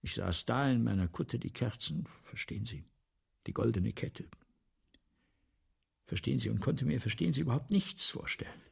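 An actor in a radio play as a vain, anxious middle-aged playwright, obsessive, halting and theatrical, repeating himself. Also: male voice, mid-range voice, animated, creaky, slightly rough, exaggerated voice. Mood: brooding, weary. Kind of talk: 1970s German radio play acting.

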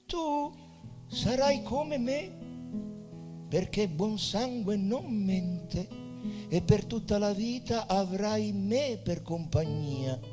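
A man voicing an eerie, prophetic, mad old grandmother in a stage play, with drawn-out, incantatory speech. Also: high voice, pitched above the performer's own voice. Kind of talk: stage drama acting. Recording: hall reverb with guitar music behind.

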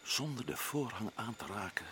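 A man's voice: lowish voice, lively intonation, moderate pace, fairly bright tone, a little hiss or hum. A man playing a stern expedition leader in a radio play, questioning and giving curt orders. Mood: reading aloud. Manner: probing, commanding.

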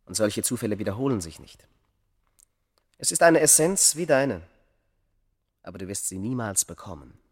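A low-pitched male voice as a bitter, vengeful man who guards a secret. His delivery is declamatory and emphatic, in the theatrical manner of a radio play. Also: big pitch swings, normal speed, pauses often, slightly rough. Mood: cold, triumphant.

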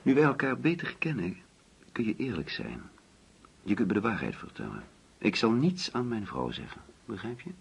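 An actor playing a genteel, anxious older husband in a radio play, talkative and patronising. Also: male voice, lowish voice, wildly swooping pitch, moderate pace, vocal fry, slightly rough, full character voice. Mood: hesitant, confiding. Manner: fussy, insistent, patronising. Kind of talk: Dutch radio play acting.

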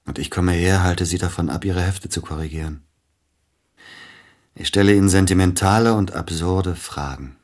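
An actor in a radio play playing a grieving, jealous widowed pianist who speaks formally and broodingly, with hesitations. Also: male voice, low-pitched, normal speed, darkish tone, natural voice. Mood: melancholy, apologetic. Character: grieving, jealous widowed male pianist, courteous but probing. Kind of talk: German radio play acting.

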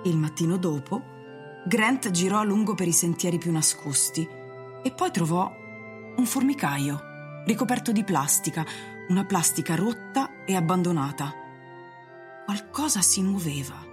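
Lowish-voiced woman narrating over music. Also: animated, normal speed. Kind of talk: scripted literary radio drama narration.

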